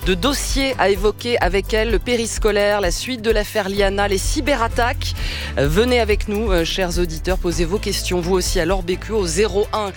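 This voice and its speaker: A woman hosting a live morning radio show, brisk and welcoming. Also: medium pitch, faint music behind. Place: radio studio. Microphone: studio microphone.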